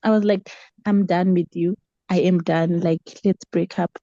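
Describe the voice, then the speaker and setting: A woman's voice: lowish voice, fairly even intonation, a little slow, mellow, dry recording, highs cut off. A woman with a South African accent telling a personal story casually, acting out quoted dialogue as she goes. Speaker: book club member sharing a story.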